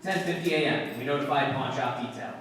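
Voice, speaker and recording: A man playing a terse, dry, matter-of-fact police sergeant in 1950s radio drama style, with clipped deadpan delivery. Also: mid-range voice, fairly quick, natural voice. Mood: flat, official. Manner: clipped, deadpan questioning and reporting. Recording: very echoey hall, distant mic, music.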